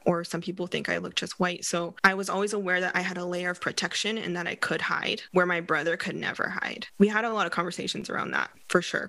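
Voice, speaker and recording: A woman talks as an interview guest in a casual, reflective way. Her voice is bright and forward, with plenty of vocal fry. The sound is close and dry, as in a candid one-to-one conversation. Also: on the low side, dry recording.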